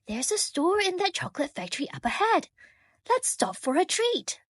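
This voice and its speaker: A woman voicing a friendly, caring man in a children's story, with a gentle, coaxing lilt. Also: very high voice, big pitch swings, moderate pace, noisy background, pitched above the performer's own voice. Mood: cheerful. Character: friendly, caring man, companion of a little monkey. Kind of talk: storybook character voice.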